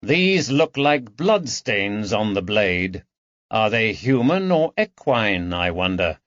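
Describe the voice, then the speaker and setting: A man's voice: fairly low pitch, big pitch swings, slow, a bit bright, no room sound, muffled recording, full character voice. A man voicing a plain-spoken, jocular constable in a period mystery audiobook, blunt and exclamatory. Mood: shocked, certain.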